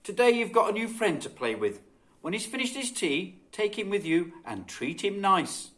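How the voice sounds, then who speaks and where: A man's voice: high-pitched, very animated, normal speed, a bit bright, voice pushed up. A man voicing a kindly, easygoing, homely mother in a children's story. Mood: firm, kindly. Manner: homely, uses folksy endearments.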